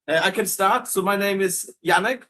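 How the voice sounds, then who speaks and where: A man's voice: sits high, moderate pace, bright, forceful tone. German man hosting a live Q&A. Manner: friendly, filler-heavy, repeats words while reading comments. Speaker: entertainment team leader hosting a live Q&A. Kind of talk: casual live-stream chat.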